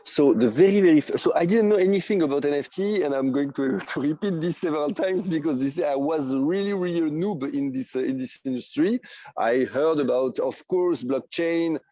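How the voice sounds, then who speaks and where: A man with a thick French accent talks as a podcast guest in a mellow, warm voice pitched a little high. He stops often on fillers and tells his story eagerly. The sound is dry and close. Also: normal speed, dry recording. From France. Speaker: guest, NFT project creator. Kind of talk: podcast interview.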